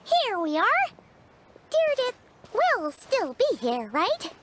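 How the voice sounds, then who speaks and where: A woman voicing a small, childlike fairy companion in a video game, chirpy and blunt, with a squeaky, expressive delivery. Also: slow pace, noisy background, full character voice. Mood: curious. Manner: refers to self in third person, expressive. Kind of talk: animated video game voice acting.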